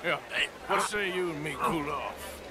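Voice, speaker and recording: An actor playing a gruff, laconic male outlaw in his thirties in a video game, with a terse, plain-spoken way of talking. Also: on the high side, normal speed, loud music behind the voice, lightly acted. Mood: wry.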